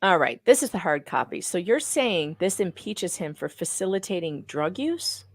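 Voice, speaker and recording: A woman playing a measured, questioning trial judge in a dramatic reading of a court transcript. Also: lowish voice, sing-song, no room sound.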